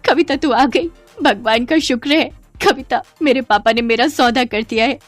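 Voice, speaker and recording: A woman's voice: a little high, moderate pace, no room sound, faint music behind, close to an everyday voice. Woman voicing a scared young college girl, pleading, cartoon story. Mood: desperate. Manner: pleading, anxious.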